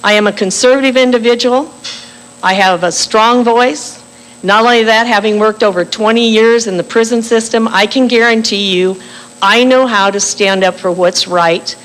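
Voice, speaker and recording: An older female candidate giving a firm, deliberate campaign speech at a cafe forum, slowing down for emphasis. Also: on the high side, fairly bright tone, rough recording. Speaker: political candidate. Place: bakery cafe forum room.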